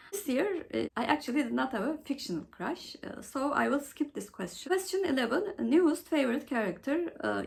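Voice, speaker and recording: A woman presenting a book vlog as host, going through questions in a halting way with frequent mid-phrase pauses. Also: fairly high pitch, vocal fry. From Turkey.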